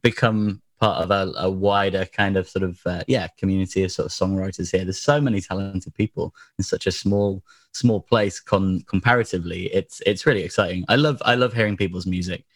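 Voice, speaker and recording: A man with a very low, slightly hoarse voice chats as a guest, circling his points with fillers and restarts. The sound is dry and close, with no room around it. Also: steady tone, a little fast, few pauses, dry recording. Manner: rambling, lots of fillers and restarts. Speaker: podcast guest. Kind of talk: casual chat.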